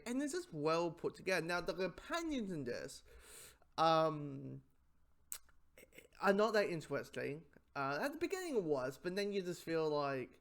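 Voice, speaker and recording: A man giving a casual spoken review in a rambling, halting way, often repeating his phrases. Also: fairly high pitch.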